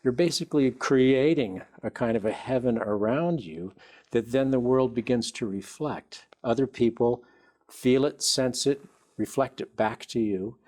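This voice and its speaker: A man's voice: medium pitch, a little slow, darkish tone. A man who is a guest on a talk show, explaining his ideas in an interview.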